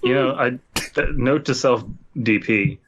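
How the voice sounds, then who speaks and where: A man's voice: medium pitch, measured pace, a bit bright, slightly rough, lo-fi sound. A man co-hosting a podcast, talking in a casual, joking way. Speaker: podcast host.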